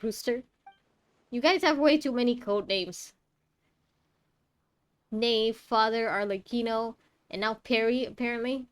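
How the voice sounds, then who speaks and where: A woman's voice: fairly high pitch, a little slow, pauses often, clean tone, no echo, clean studio sound. A woman giving casual, wry, reactive commentary as she plays a video game live. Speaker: game streamer. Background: faint game music.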